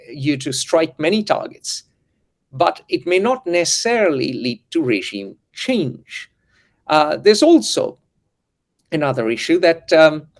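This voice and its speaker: A man hosting a geopolitical discussion, lecturing and full of historical asides. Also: fairly high pitch. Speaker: host and editor.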